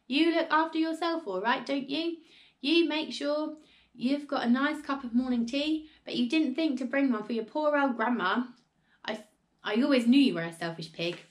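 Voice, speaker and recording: A woman voicing a domineering, selfish old grandma in a storybook, shouting and accusing. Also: mid-range voice, expressive, a little fast, lightly acted.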